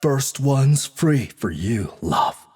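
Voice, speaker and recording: Husky, cocky young punk god. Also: male voice, mid-range voice, sing-song, extremely slow, darkish tone, pitched above the performer's own voice, full character voice. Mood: cocky, threatening. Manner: sneering, condescending. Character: brash, cocky young male god, streetwise and taunting.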